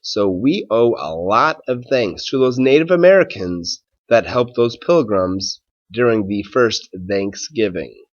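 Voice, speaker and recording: A man who is a schoolteacher doing a read-aloud for children and talking to pupils in a measured, simple way. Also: fairly low pitch, lively intonation, muffled recording.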